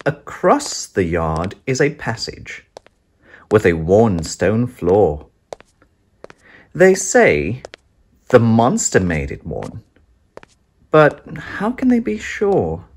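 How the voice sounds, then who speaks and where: A narrator reading a children's picture book aloud in a dramatic, suspenseful, expressive way with deliberate phrasing. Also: mid-range voice, husky. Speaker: storybook narrator.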